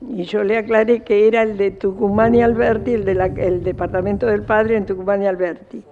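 Older Argentine woman, creaky and mellow. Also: mid-range voice, fairly even intonation, a little fast. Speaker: interviewee, mother recounting a call. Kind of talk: personal testimony.

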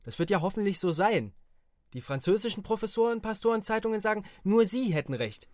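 A sharp-witted young soldier in a radio drama. His voice is fairly high and closely recorded, debating with a superior air. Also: lively intonation, a little fast, dry recording. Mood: questioning. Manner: argumentative, condescending. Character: Kropp, clever young soldier, superior, questioning.

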